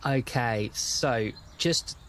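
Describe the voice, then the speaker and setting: British male game master, mid-pitched, relaxed conversational narration of an improvised tabletop scene. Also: drawn-out words, slight background noise.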